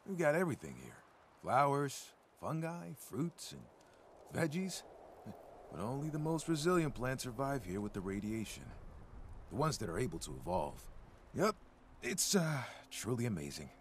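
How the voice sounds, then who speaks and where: A man playing a gentle, timid, nature-loving ghoul gardener in a video game, speaking mildly, hesitantly and earnestly. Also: medium pitch, big pitch swings, moderate pace, slight background noise, lightly acted. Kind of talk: video game character dialogue.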